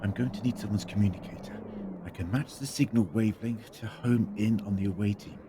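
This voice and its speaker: An actor playing a cunning, defiant time-travelling adventurer in a science fiction audio drama, wry and deliberate. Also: male voice, on the low side, noisy background, natural voice. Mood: calm, firm.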